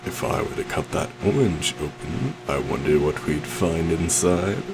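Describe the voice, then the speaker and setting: A man's voice: deep voice, animated, measured pace, background noise. A man playing a suave, possessive stage magician in an ASMR roleplay, theatrical and courtly, coaxing sweetly with a menacing undertone. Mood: playful showmanship. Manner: theatrical, courtly, soft coaxing with terms of endearment.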